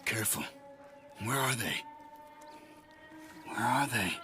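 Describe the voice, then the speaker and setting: A man playing a calm, watchful, loyal outlaw companion in a video game cutscene. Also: mid-range voice, slow, close to an everyday voice. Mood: wary. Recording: loud music behind the voice.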